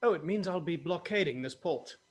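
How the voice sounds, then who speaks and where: A roguish pirate captain from a comic fantasy script, acted out by a man at a table read. He talks emphatically on a slightly high male voice, with very animated intonation. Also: brisk, breathy edge, soft background music. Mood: smug.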